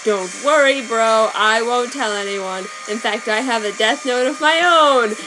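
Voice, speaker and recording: A woman voicing a cocky, casual teenage boy who talks loud and breezy. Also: fairly high pitch, measured pace, background noise, close to an everyday voice. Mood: smug.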